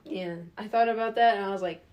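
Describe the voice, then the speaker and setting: A woman's voice: medium pitch, fast, lo-fi sound. A young woman co-hosting a podcast, chatting casually, laughing often and acting out the people in her stories. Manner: giggly, animated storyteller who acts out quotes. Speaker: podcast co-host. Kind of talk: casual chat.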